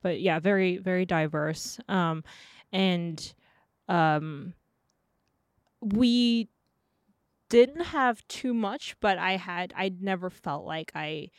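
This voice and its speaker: A woman in her thirties from France who grew up speaking Cantonese and French. Her voice sits a little low, and the sound is close and dry. She talks casually, as a guest sharing personal recollections. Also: takes it slowly, smooth, no echo. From France, outside Paris. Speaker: interview guest; artist.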